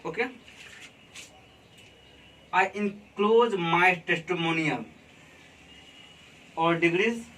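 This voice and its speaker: A man with an Indian accent teaching a class. He talks slowly and deliberately and keeps checking in with his students. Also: high voice, fairly bright tone, slight background noise. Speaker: college teacher. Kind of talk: classroom lesson on letter writing. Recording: distant mic with faint room ambience.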